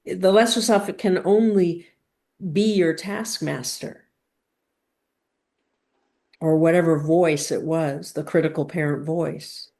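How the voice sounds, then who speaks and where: A woman leading a spiritual teaching session, speaking in deliberate, halting phrases with emphatic pauses and guiding questions. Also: low-pitched, steady tone, warm tone.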